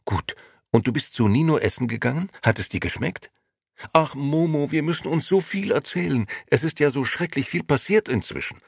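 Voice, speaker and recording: A man voicing an excitable, talkative grown man who rushes out a breathless stream of words. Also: medium pitch, no room sound, clean studio sound, pitched above the performer's own voice, lightly acted. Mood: eager rushing.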